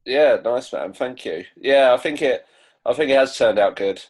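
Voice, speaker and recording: A man's voice: mid-range voice, animated, moderate pace. A young man, a musician being interviewed, chatting casually in a self-deprecating way. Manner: self-deprecating, laughs between phrases.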